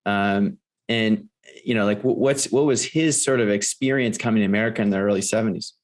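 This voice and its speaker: A man hosting a podcast, asking long, halting questions full of fillers and supportive murmurs. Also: fairly low pitch. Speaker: podcast host. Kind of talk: interview questions.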